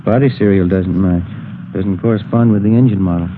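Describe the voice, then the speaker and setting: Male American police partner acted by a man, lowish voice, casual and laconic, muffled vintage radio. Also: fairly even intonation, fairly quick, lightly acted. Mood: casual, observant. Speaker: supporting actor in a police radio drama. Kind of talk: 1950s radio acting, understated dialogue. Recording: muffled, noisy old radio recording.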